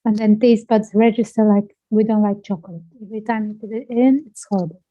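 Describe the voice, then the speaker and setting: A woman guiding a self-inquiry conversation, asking gently probing and playful questions. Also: mid-range voice, fairly even intonation, fairly quick, no room sound, lo-fi sound.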